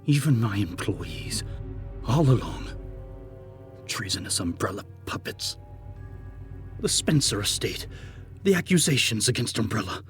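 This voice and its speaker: An unhinged older man in power , acted out by a man for an audio drama. He snarls with theatrical, self-pitying fury, and his pitch swings widely. Faint music plays behind him. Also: very animated, measured pace, pauses often. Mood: paranoid anger. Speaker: voice actor in a fan audio drama. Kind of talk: dramatic villain acting.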